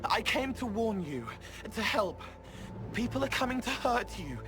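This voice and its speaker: Game role: otherworldly youth, high-pitched, very inflected, cryptic and urgent, music underneath. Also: male voice, big pitch swings, unhurried. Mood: anxious, cryptic. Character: strange, earnest, otherworldly young man. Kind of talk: video game voice acting. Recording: music behind the voice.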